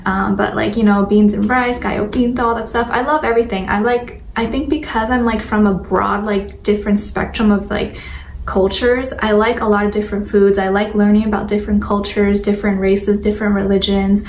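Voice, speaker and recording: A young woman talks in a loose, informal vlog style with lots of filler words. Her pitch is average for a woman. The sound is echoey and a little distant, with faint noise behind her. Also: steady tone, a little fast. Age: young adult. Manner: informal, rambling, filler-heavy with frequent "like". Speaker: vlogger. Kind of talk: casual chat to viewers. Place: indoor room at home with a sunlit window. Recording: roomy echo, distant mic, faint noise.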